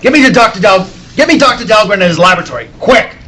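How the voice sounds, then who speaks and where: A man playing an excitable, brusque newspaper editor in the style of a 1940s radio serial. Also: high voice, fast talker. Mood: impatient, agitated. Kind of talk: 1940s radio serial acting at a table read. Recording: convention room noise behind.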